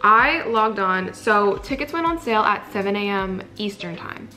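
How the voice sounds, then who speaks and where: A young woman from California chatting casually in a vlog, excitable and full of "like", her voice a bit raspy. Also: mid-range voice, moderate pace, faint music behind, rough recording. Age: young adult. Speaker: incoming college student vlogger.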